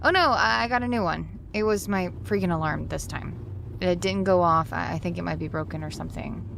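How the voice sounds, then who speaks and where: A woman's voice: fairly low pitch, fairly quick, creaky, a bit bright, slight background noise. An actress playing a flustered, harried woman in an audio drama, speaking expressively. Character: flustered, harried talk show woman, often late.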